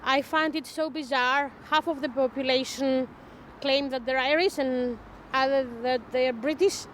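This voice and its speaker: A woman in her fifties from Greece, speaking English with a Greek accent in halting sentences with small grammar slips, as a documentary interviewee. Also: high-pitched, fairly even intonation, a bit bright, a little hiss or hum, low-quality recording. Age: 50s. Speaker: interviewee, performance artist.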